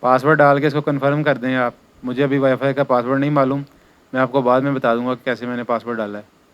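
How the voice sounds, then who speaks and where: A man's voice: medium pitch, level tone, quick pace, clear, resonant tone, lo-fi sound. A man giving an informal hands-on tutorial as an installer, explaining step by step and repeating his instructions.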